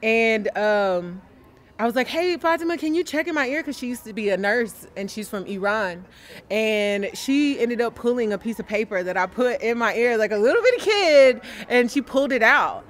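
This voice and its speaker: A young woman from Dallas, chatty and animated, telling a personal story as an interviewee outdoors on a sunny lawn. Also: on the high side, moderate pace. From Dallas, Texas. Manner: chatty and animated, gesturing with her hands. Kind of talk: casual storytelling.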